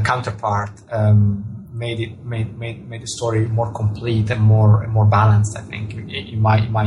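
A man's voice: medium pitch, noisy background. A man with an Italian accent, an author answering interview questions thoughtfully, often repeating words and restarting his sentences. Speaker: author and astronomer.

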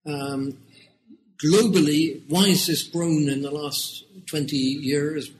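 A man with a British accent, answering interview questions as an education researcher in a measured, deliberate way. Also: a little high, fairly even intonation, a little hiss or hum, low-quality recording. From United Kingdom.

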